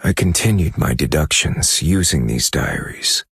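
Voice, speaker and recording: An actor playing a brooding, troubled amnesiac novelist in a game, narrating a dramatic monologue with a gravelly, husky voice and a grave, measured manner. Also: male voice, very deep voice, expressive, a bit bright, no echo, clean studio sound, full character voice. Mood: measured, intent.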